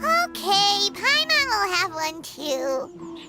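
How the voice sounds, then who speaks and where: A woman voicing a tiny, excitable, childlike fairy companion in a video game, blunt and exclamatory. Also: extremely high pitch, drawn-out words, loud music behind the voice, exaggerated voice. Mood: reluctant, whiny. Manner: speaks of herself in third person, blunt, exclamatory. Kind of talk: video game character acting.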